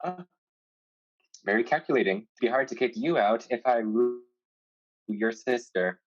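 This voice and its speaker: An actor playing a wry, calculating pirate with a dry, halting delivery in a staged play reading. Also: medium pitch, lively intonation, natural voice. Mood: measured, sincere. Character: wry male pirate, calculating yet honorable suitor. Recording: dull lo-fi sound.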